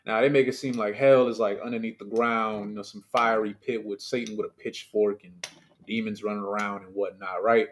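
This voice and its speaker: Emphatic man preaching a streamed sermon. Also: medium pitch. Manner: conversational and emphatic, with rhetorical questions; loose and chatty, with many fillers and asides. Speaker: preacher leading a livestreamed service.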